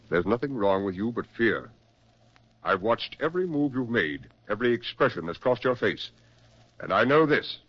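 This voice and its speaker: An actor playing a stern, insistent doctor who presses and commands in a 1940s radio drama style. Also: male voice, on the low side. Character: stern, insistent male doctor pushing his frightened patient. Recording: dull, lo-fi 1940s radio recording.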